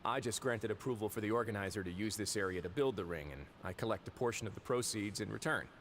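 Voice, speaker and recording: Low-voiced, composed prison duke. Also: male voice, a little hiss or hum. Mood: matter-of-fact. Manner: measured, formal, wry. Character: composed, dry, authoritative male prison duke. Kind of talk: game voice acting. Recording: loud game music behind.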